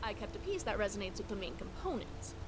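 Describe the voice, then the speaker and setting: A woman voicing an eager, scatterbrained young tinkerer companion in a video game, chatty, playful and expressive. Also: mid-range voice, fast, background noise, lightly acted. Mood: chatty, absorbed.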